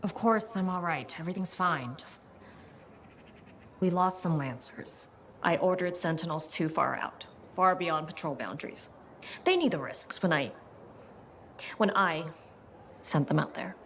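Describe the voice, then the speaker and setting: An actress playing a stern, guilt-burdened military commander in a video game, terse and self-blaming. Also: female voice, on the low side, expressive, measured pace, long pauses, fairly bright tone, slight background noise. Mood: troubled, resolute. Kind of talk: video game dramatic acting.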